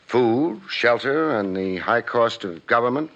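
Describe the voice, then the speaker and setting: An actor playing a wry, hard-boiled private detective with dry, punchy delivery in 1950s radio noir style. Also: male voice, medium pitch, animated, measured pace, a bit bright. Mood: wry, probing. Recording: muffled old radio recording, faint music.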